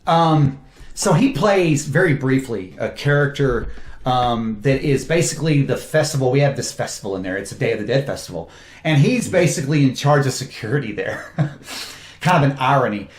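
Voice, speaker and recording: A man, a film director talking casually in an interview and telling lively stories, often laughing. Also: medium pitch, normal speed. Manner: animated storyteller, laughs often, acts out quotes. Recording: echoey room.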